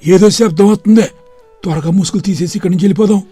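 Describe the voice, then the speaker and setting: A woman's voice: medium pitch, very fast, warm tone, slightly rough, faint music behind, lightly acted. A woman voicing a jumpy male thief who urges his partner to hurry. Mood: urgent.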